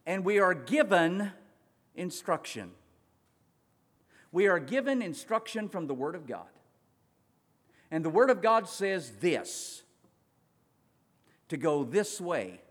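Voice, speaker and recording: A man preaching a sermon, swinging between loud urgent passages and quiet slow ones, drawing out words for emphasis. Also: on the high side. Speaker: pastor. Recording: long reverb of a large hall.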